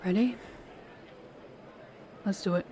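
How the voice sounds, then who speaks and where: A woman's voice: medium pitch, takes it slowly, warm tone, a little hiss or hum, natural voice. A woman voicing a nervous, polite young woman who stammers a little in an audio roleplay. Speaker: voice actor, channel host.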